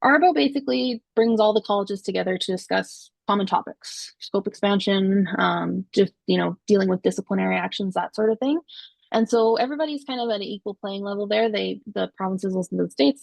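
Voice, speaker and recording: Creaky-voiced woman answering questions. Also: mid-range voice, brisk, no room sound. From Canada. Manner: friendly, informal, with laughs and fillers. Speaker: optometrist and exam board member.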